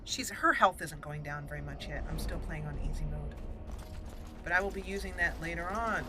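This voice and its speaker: A woman hosting a let's play, chatting casually about her gameplay and reacting excitedly to the action. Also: low voice, normal speed, music in the background. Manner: chatty, excitable reactions, talks to party members.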